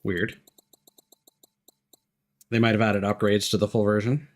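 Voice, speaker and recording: A man giving casual live gameplay commentary as a streamer, explaining things haltingly and thinking aloud. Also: fairly low pitch, little pitch movement, crowd noise. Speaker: game streamer. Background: game music.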